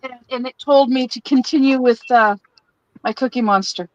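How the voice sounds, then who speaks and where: A woman's voice: high-pitched, normal speed, no room sound, highs cut off. A woman hosting a casual live stream while crafting, chatty and giggly, laughing between her rambling phrases. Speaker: livestream host.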